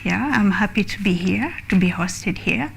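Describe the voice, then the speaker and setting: A woman, a musician, speaking softly and hesitantly as a guest in a studio interview. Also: medium pitch, moderate pace, vocal fry, slightly rough. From Kenya. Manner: soft-spoken, hesitant, laughs often.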